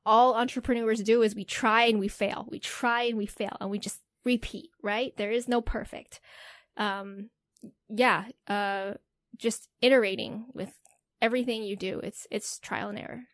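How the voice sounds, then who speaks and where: A woman's voice: mid-range voice, normal speed, dry recording. A young woman, an interview guest, telling stories in casual chat and often adding "right?". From United States. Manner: animated storytelling, frequent "right?" tags.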